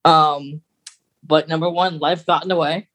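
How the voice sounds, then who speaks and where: Male podcast co-host, higher-than-average pitch, relaxed conversational delivery. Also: measured pace. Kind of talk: casual podcast chat.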